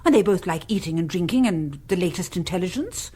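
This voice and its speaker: A woman's voice: on the low side, expressive, brisk, warm tone, slightly rough. A woman acting a bossy, vivacious middle-aged English society lady in a radio comedy, commanding, playful and theatrical. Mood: brisk, playful.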